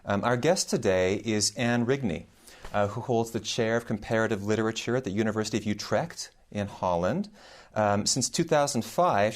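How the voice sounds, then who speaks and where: A man interviewing a guest on a university radio show, speaking with frequent ums, uhs and restarts. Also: lowish voice. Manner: hesitant, many "uh" and "um" fillers and restarts. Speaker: interviewer, English professor. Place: radio studio.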